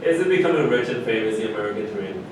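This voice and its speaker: A man's voice: lively intonation, fast talker, close to an everyday voice. A man in a staged reading, playing a skeptical, argumentative man who needles and questions his peers. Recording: echoey room, distant mic, noisy rumble.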